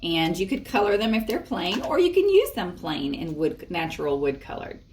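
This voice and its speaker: A woman's voice: on the low side, very animated, normal speed, darkish tone, slight background noise. A woman presenting a library storytime, reading and explaining crafts expressively for young children. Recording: echoey room, distant microphone.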